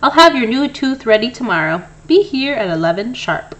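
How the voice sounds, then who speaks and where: A small but firm male mouse dentist from a picture book, voiced by a woman. He speaks with brisk professional authority and lively, swinging intonation. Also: on the high side, muffled recording, pitched above the performer's own voice. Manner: firm, announcing, sometimes sharp.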